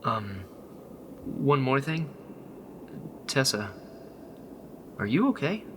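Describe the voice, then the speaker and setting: An actor playing an earnest, caring and determined young rebel in a sci-fi audio drama. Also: male voice, mid-range voice, very animated, drawn-out words, noisy background. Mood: curious, concerned.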